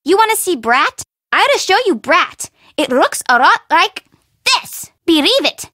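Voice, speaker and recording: Perky young bento shop girl for a video game, grown woman, high bouncy voice, sassy and teasing, super informal. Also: big pitch swings, measured pace, sharp tone, no echo, clean studio sound, full character voice. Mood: sarcastic, annoyed. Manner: bubbly, teasing, sarcastic, informal. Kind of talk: video game character voice acting.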